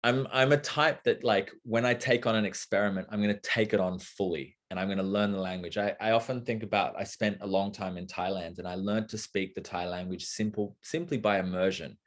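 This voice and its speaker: A man's voice: lowish voice, fairly even intonation, brisk, hardly any pauses. A young adult man talking casually and thoughtfully, rambling with lots of fillers and restarts. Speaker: co-host of a live talk. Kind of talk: casual conversational talk.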